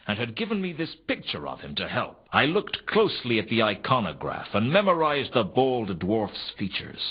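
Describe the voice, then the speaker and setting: Noir game gumshoe: world-weary man, dry wit, low and slightly husky, swinging intonation. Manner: deadpan, dryly sardonic. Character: wry, world-weary male private detective narrating his case. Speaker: detective narrator of a noir adventure game. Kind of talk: scripted first-person noir narration. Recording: dull, lo-fi ripped game audio.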